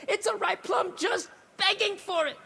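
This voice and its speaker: An actor playing an excitable, roguish sake merchant and hapless schemer in a video game cutscene, wheedling and theatrical. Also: male voice, very high-pitched, creaky, full character voice. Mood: eager salesmanship.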